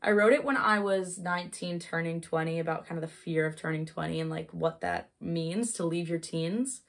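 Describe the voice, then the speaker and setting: A woman's voice: on the low side, gravelly. Chatty young woman in her bedroom. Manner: chatty and upbeat, quick with fillers. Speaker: singer-songwriter. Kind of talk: casual intro to a solo home performance. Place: childhood bedroom at her parents' house. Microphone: webcam at close range. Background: her own acoustic guitar.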